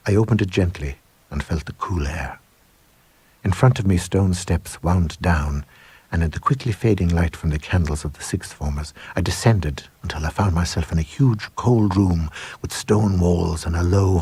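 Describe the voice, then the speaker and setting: A man reading a first-person ghost story in a measured, grave, dramatic storytelling manner. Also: sits low, a little mellow, breathy edge, no echo. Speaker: story reader.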